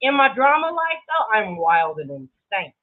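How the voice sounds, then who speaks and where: A woman's voice: medium pitch, very animated, normal speed. A woman talking casually on a livestream in a brash, dramatic, sweary way, often laughing. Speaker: livestream creator. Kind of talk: casual livestream commentary to viewers. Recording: muffled, narrow lo-fi sound.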